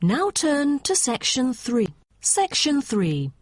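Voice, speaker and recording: A woman announcer reading scripted exam instructions in a measured, formal manner. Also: high voice, very animated, no room sound. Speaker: test announcer.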